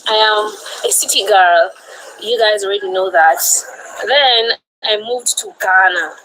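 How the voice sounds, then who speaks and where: A woman from Kenya speaking calmly in a played clip, explaining herself. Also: medium pitch, unhurried, low-quality recording. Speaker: clip of an interviewee. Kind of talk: casual talk in a played clip. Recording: played clip with crowd noise behind.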